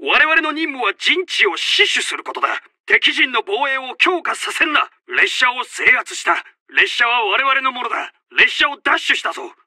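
A commanding Japanese military officer for a war video game. His voice is strikingly high and intensely sharp, stern and urgent as he barks clipped reports and orders. The sound is very dry and close. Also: dry recording, full character voice. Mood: commanding, rallying. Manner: forceful, clipped, barking orders. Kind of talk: shouted tactical voice lines.

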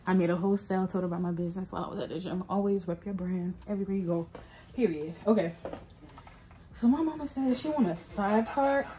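A woman's voice: medium pitch, fast, dark tone, a little hiss or hum. Chatty young woman vlogging on her phone. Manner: chatty, keeps calling out to viewers with "y'all". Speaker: wig maker and vlogger. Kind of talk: casual vlog talk to camera. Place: home wig studio, then the gym, home and a mall at night. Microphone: phone held close at arm's length.

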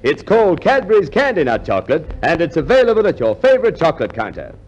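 Husky, highly animated male radio announcer. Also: quick pace. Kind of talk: scripted 1950s radio advertising. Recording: dull, lo-fi 1950s radio recording.

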